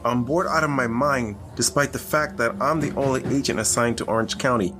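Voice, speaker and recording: A man playing a bored, cocky maverick government agent in an audio drama, brash, needling and quick to swear. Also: mid-range voice, natural voice. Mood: bored, restless.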